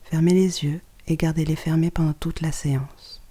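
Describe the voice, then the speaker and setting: A yoga nidra guide giving calm, soft-spoken instructions in short phrases with pauses, often close to a whisper. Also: medium pitch. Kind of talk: guided relaxation meditation, scripted instructions.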